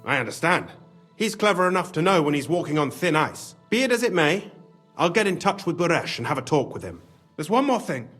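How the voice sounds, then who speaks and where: A scheming, excitable game official with a high voice that swings dramatically. He talks in wordy, exclamatory bursts with a conspiratorial, pragmatic air. The tone is husky, over faint music. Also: brisk. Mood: calculating. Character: cunning, excitable scheming male mine official, smugly pragmatic. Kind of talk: video game cutscene acting.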